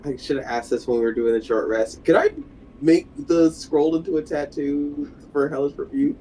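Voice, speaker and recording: A man's voice: a little high, normal speed, dark tone, rough recording. A man chatting casually as a player in a tabletop game. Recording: faint background noise.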